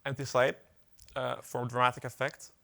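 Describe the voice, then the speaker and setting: Bright-voiced man giving a tech talk. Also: mid-range voice, flat delivery, moderate pace, vocal fry. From Netherlands. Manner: casual, filler-heavy, wry asides. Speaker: conference speaker. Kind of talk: technical conference talk. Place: conference hall.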